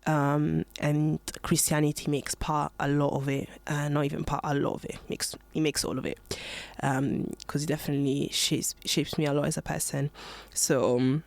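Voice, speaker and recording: A woman's voice: low voice, flat delivery, moderate pace, gravelly, darkish tone, no room sound. A nineteen-year-old woman hosting her own podcast, talking casually and earnestly with lots of "um" and "like", a little nervous. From Ghana, born in Italy, living in the UK. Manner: chatty and earnest, many fillers like "um" and "like". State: a bit nervous. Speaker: podcast host. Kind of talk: casual solo podcast monologue.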